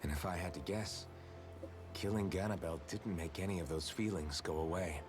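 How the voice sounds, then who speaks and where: Guy playing young fantasy game hero, really low voice, earnest n reassuring, music playing behind. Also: normal speed, natural voice. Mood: gentle, serious. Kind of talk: video game voice acting.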